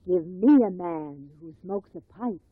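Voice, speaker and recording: A woman's voice: on the low side, expressive, measured pace, husky, no room sound. A woman playing a dreamy, admiring lady in a 1950s radio commercial. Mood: dreamy admiration. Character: admiring, dreamy lady who loves pipe-smoking men. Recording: tinny, dry old radio recording.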